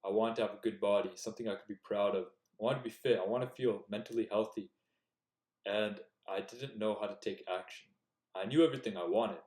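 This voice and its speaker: A young man speaks in an earnest, direct coaching tone, laying out self-improvement advice. His voice sits a little low. The room around him is echoey. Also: fairly quick, a little mellow. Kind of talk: casual motivational talk to camera.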